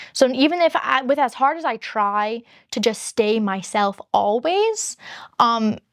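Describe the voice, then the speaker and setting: A woman YouTuber with a high-pitched voice, chatting candidly to her viewers and rambling through long explanations. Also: dry recording, clean studio sound. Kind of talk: casual chat to camera.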